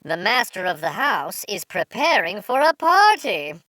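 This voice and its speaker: Man putting on a high-pitched snobbish city mouse. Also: very animated, a little slow, bright, forceful tone, no echo, heavily acted. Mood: delighted. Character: refined, snobbish city mouse, male, proud and excitable.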